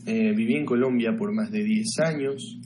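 A man's voice: mid-range voice, normal speed, a little mellow, a little hiss or hum. A young man from Ecuador chatting casually with live viewers from his bedroom, gossiping and answering their questions into a webcam mic. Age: young adult. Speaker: livestreamer. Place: small bedroom, indoors. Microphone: webcam mic at desk.

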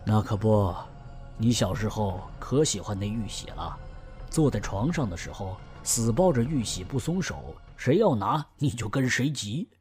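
Man voicing a family elder, lowish voice, measured, storytelling, audio drama. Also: animated, a little mellow, faint music behind, lightly acted. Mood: explaining. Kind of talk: fan audio drama acting.

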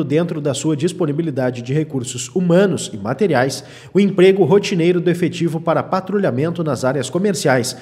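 A man's voice: on the high side, darkish tone. A man reading scripted news reports in voice-over. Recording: long reverb on voice-over.

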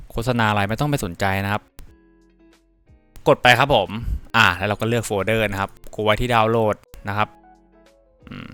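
Clear-voiced young man giving a casual tutorial. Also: on the low side, sharp tone. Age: young adult. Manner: informal, polite phrasing mixed with crude slang. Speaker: tutorial presenter. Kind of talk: casual software tutorial narration.

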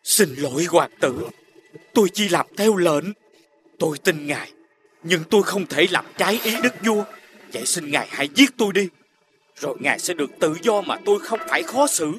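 A man playing a loyal, conscientious royal guard in an animated fairy tale, deferential and solemn. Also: a little high, normal speed, over music, lightly acted. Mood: pained, dutiful.

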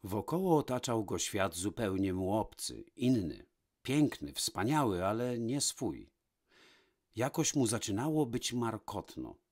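Low-voiced man reading a fairy tale. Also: big pitch swings, a little slow, darkish tone. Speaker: storyteller, narrator. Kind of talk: scripted fairy-tale narration, read aloud.

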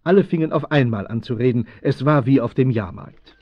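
A man's voice: medium pitch, brisk, no room sound, natural voice. A man narrating a youth adventure radio play in a scripted storybook style. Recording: dull vintage audio-drama recording, some music.

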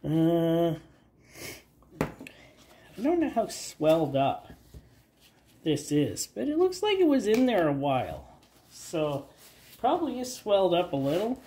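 Female DIY host with a head cold; slow, lively, self-deprecating delivery, noisy outdoor recording. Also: lowish voice. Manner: rambling, self-deprecating, halting with fillers. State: has a cold. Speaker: garage channel host. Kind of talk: casual hands-on DIY narration. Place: outdoor work table.

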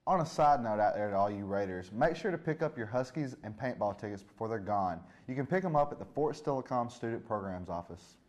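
A man's voice: on the low side, animated, brisk, few pauses, a little mellow, soft background music. A man co-hosting a college TV program, reading scripted announcements in a presenter's style. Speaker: host of a college TV show.

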